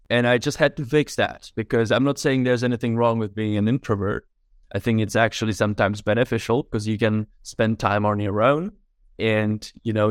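A man's voice: mid-range voice, clean tone, no room sound, clean studio sound. A young Czech man in his twenties, a filmmaker guest chatting casually and thoughtfully on a podcast, often adding "like" and "right?". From Czech Republic. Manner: relaxed, thoughtful, many fillers like "like" and "right?". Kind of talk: casual interview chat.

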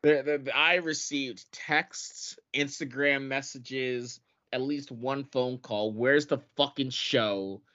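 A man's voice: mid-range voice, steady tone, takes it slowly, few pauses, bright, forceful tone. A man co-hosting a podcast in casual banter, sarcastic and swearing freely. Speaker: podcast co-host. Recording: dull lo-fi podcast audio.

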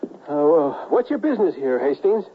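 An actor playing a nervous, kindly, stammering gentleman in a western radio drama. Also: a little high, sing-song, normal speed, breathy edge, phone-quality sound. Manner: hesitant, stammering. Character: nervous, kindly gentleman in fine clothes, Mister Sweeter. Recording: thin vintage radio broadcast, music behind.

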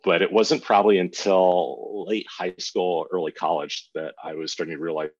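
A middle-aged American man with a husky voice, telling stories about himself as an interview guest in a casual, rambling, self-deprecating way. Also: sits low, level tone, normal speed. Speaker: guest author. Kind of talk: reflective interview storytelling. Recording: dull, roomy lo-fi sound.

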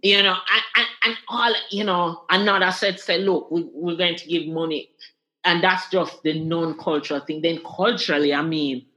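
A Jamaican woman speaks as an interview guest, her bright, forceful voice full of energy. She repeats words for emphasis and often laughs in the middle of a point. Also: fairly low pitch, steady tone, moderate pace. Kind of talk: candid interview conversation.

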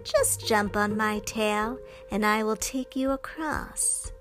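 A woman voicing a sly male fox, a smooth-talking storybook trickster who coaxes without hurry. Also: mid-range voice, steady tone, music in the background, lightly acted. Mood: sly coaxing. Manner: coaxing, unhurried.

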